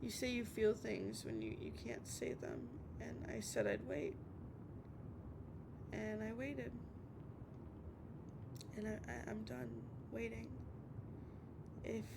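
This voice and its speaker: Woman acting a halting, creaky-voiced heartbroken young woman. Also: heavily acted. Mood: weary, firm. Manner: halting, stammering, repeating words. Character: obsessive, heartbroken young woman calling an on-off lover at night. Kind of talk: dramatic audio roleplay monologue. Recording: noisy with low rumble.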